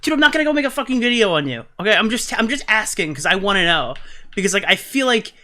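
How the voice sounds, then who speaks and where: A young man with a fairly high, bright and forceful voice speaks casually on a call. He presses and pleads in a halting, sweary way. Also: very animated.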